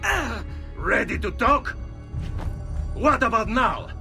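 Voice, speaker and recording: An actor playing a bullying, aggressive city guard in a video game, barking threats with an Italian accent. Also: male voice, very high voice, lively intonation, takes it slowly, gravelly, bright, forceful tone, full character voice. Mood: threatening. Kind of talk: video game cutscene acting. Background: music.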